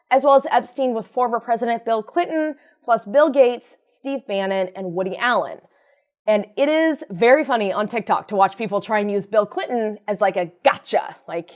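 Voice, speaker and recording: A fairly high-pitched female voice presents the news as commentary. She hosts in a conversational, informal register, with a sardonic edge and wry asides. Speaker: host of a news commentary show.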